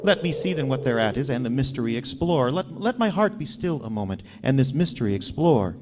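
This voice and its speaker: A man giving a theatrical, suspenseful poetry recitation with deliberate pauses, recorded live on stage. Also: medium pitch, sing-song, noisy background. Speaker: performer reading poetry.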